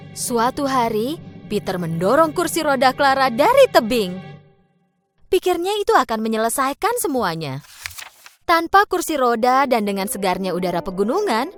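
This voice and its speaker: A woman narrating a scripted children's bedtime story. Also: fairly high pitch.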